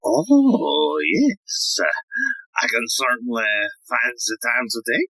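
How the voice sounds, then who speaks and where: An actor playing a vain, bumbling police inspector in a comedic audio play. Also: male voice, mid-range voice, huge pitch swings, slow, creaky, sharp tone, slightly rough, no room sound, heavily acted, poor audio. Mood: flustered, smitten.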